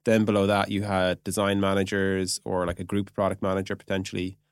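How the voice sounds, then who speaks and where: Male podcast guest, lowish pitch, rapid informal explaining, dry studio-close sound. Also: monotone, clear, resonant tone, no room sound. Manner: informal, peppers speech with "like" and "you know". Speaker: podcast guest, product executive. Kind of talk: casual explanatory chat.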